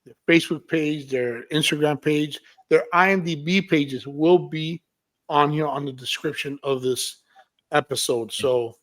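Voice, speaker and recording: A man's voice: a little high, measured pace. A man hosting a casual interview show, emphatic, repeating his points and checking in with listeners. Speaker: show host.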